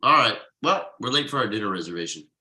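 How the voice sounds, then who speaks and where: A man's voice: fairly low pitch, animated, fast, pushed and bright. A man reading the part of a forbearing, drily sarcastic husband and father in a conversational comedy table read, with roomy echo. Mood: patient, wry. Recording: roomy, echoey online video call.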